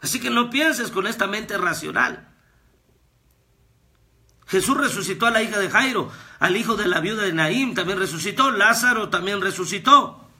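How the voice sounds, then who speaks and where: A man, preaching a fervent devotional sermon as a pastor and prophet, with repetitions that rise to shouts. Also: high-pitched, lively intonation, moderate pace. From Guatemala. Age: 30s.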